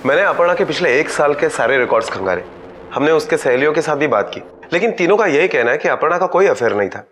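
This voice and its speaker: An actor playing an aggressive, sarcastic junior police officer in a TV crime drama. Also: male voice, on the high side, sing-song, fast, noisy background. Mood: businesslike. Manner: taunting, threatening to suspects. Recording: loud dramatic background music.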